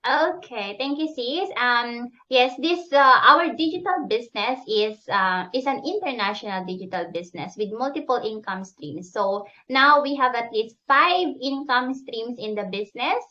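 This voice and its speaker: A woman from the Philippines with a Filipino accent, chatting in an animated, giggly way as a coach being interviewed. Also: fairly high pitch, normal speed, hardly any pauses, dull audio. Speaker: business coach, interview guest. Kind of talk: casual interview chat.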